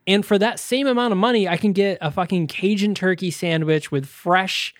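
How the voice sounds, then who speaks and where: Higher-voiced man in casual, sweary chat. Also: moderate pace, dry recording, studio quality. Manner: casually profane, self-deprecating. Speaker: podcast co-host.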